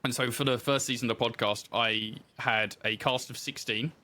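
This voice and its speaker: A man's voice: mid-range voice, fairly even intonation, normal speed, pushed and bright. A man telling his story in casual interview chat, informal and self-deprecating, as a podcast guest who designs games.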